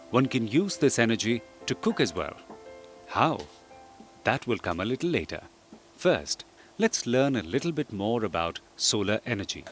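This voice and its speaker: A man reading scripted instructional narration for a training film in a measured, didactic way. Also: lowish voice. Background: background music.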